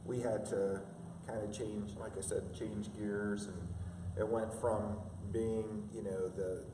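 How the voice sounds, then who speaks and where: A man in his fifties, a father, reflecting in a halting, filler-heavy way. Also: lowish voice, little pitch movement, noisy background. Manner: halting, many fillers and restarts. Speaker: interview guest, father. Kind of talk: reflective conversational interview. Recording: echoey room from a distance.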